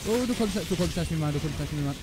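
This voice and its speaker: A man who is a native Luxembourgish speaker, giving casual, excitable live gameplay commentary and repeating words in urgent bursts, with swearing. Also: on the high side, fast talker. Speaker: gamer recording a let's play. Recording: noisy home recording with game sound. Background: video game sound.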